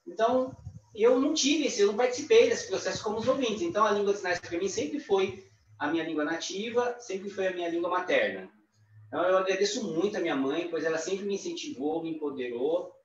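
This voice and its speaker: A man with a Brazilian accent voicing a signed talk, halting, with restarts and tag questions. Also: high-pitched, animated, a little hiss or hum. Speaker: sign language interpreter. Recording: distant echoey lo-fi livestream audio.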